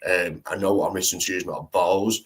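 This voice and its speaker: A man hosts a live stream in loose, slangy talk. He rambles and restarts his sentences often, in a low voice. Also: steady tone, a little fast, a bit bright. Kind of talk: casual live-stream chat.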